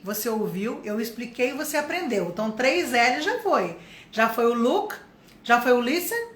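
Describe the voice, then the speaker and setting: A Brazilian woman teaches English on a live stream, lively and encouraging as she goes through each step. Her voice sits high, and the room gives it a clear echo. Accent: Brazilian Portuguese. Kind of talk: live online English lesson.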